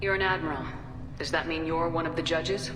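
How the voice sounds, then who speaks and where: Female space commander played by an actress, lowish voice, steady, blunt, brisk; game drama with reverb. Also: background noise, lightly acted. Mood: businesslike. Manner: brisk, plain-spoken. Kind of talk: video game voice acting. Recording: echoey hall, music underneath.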